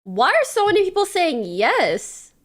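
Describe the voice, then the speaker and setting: A young woman from Canada with a francophone Canadian accent, chatting casually on a livestream and reacting to videos, with lots of fillers and quick laughs. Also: very high-pitched, wildly swooping pitch. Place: home streaming room.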